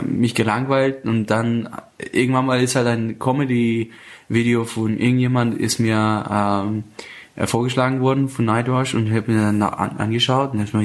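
A young man in his twenties, originally from Afghanistan, talks about his comedy career in a relaxed interview. He speaks at an average pitch and keeps breaking off, restarting his sentences and filling the gaps with hesitations. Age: 20s. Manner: hesitant, many fillers and restarts. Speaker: stand-up comedian guest. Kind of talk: casual interview chat.

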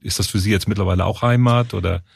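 Middle-aged male interviewer, low gravelly husky voice, hesitant questioning. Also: steady tone, fast, dry recording, clean studio sound. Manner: hesitant, many fillers while forming questions.

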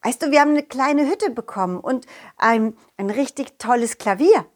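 A woman's voice: on the high side, expressive, moderate pace, pitched below the performer's own voice, close to an everyday voice. A woman voicing Kollin, a confident, loyal talking dog, narrating a children's audio play in a simple, child-directed way. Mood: friendly, eager. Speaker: storyteller and main character of a children's audio story.

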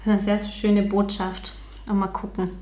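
A woman asks questions in a light, teasing manner, sounding a little off the mic. Her pitch is ordinary for a woman. The room adds a clear echo. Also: level tone, normal speed, dark tone. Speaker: interviewer, host's partner. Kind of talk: casual interview questions.

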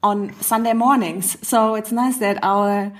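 A woman's voice: medium pitch, steady tone, measured pace. A woman in her forties hosting a casual conversational podcast, chatty and thinking aloud as she goes. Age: 40s. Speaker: podcast host.

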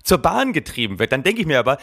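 A man's voice: mid-range voice, very animated, fast, creaky, a bit bright, husky. A man co-hosting a satirical banter podcast, telling stories in a sardonic, mock-serious way.

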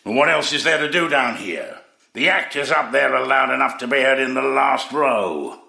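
An actor playing a gruff, blunt older theatre stagehand in an audio drama, with a gravelly, husky voice. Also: male voice, medium pitch, animated, moderate pace, sharp tone, heavily acted. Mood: grumbling, wry. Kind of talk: full-cast audio drama acting. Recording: roomy, echoing sound.

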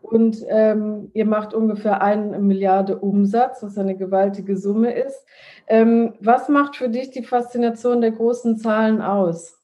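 A woman's voice: medium pitch, fairly even intonation, measured pace, mellow, dull audio. A woman interviewing a guest, asking friendly questions with many fillers.

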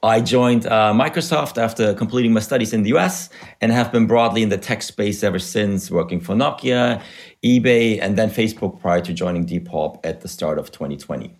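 Podcast guest, man in his thirties, German accent, low voice, measured explainer. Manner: measured, explanatory, uses you know. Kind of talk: podcast interview.

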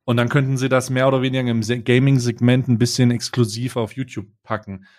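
Young male podcast co-host with a German accent. Average pitch, informal and slangy, halting as he thinks aloud. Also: flat delivery, a little mellow. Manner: halting, slangy, searching for words. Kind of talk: casual unscripted podcast chat.

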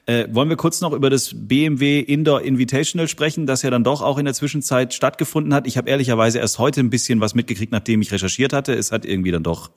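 A man from Swabia hosting a casual golf podcast chat, joking and sarcastic. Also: mid-range voice. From Swabia, Germany. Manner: sarcastic, joking, quick asides. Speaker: podcast host.

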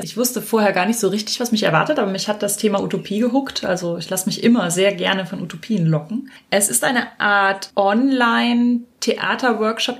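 A woman co-hosts a podcast in a conversational way. Her voice sits at an average pitch and has a noticeable creak to it. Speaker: podcast co-host.

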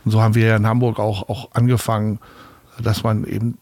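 Gravelly older man from Berlin. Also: lowish voice, flat delivery, fairly quick, darkish tone, no echo. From Berlin, Germany. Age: 60s. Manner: chatty storyteller, many fillers and restarts, laughs easily. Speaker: arena manager, guest. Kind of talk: relaxed interview talk.

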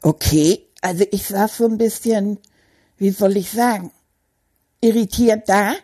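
Brief: woman plays a male stuffed toy rat for a kids' audio story, husky and mellow, high-ish and gossipy. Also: unhurried. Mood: chatty. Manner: excitable, gossipy, childlike storytelling. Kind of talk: children's audio story monologue.

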